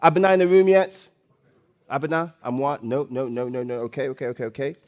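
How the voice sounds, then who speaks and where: A man hosting a live stage event, loud and playful, coaxing the audience and often repeating phrases. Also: medium pitch, fairly quick. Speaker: event host and MC.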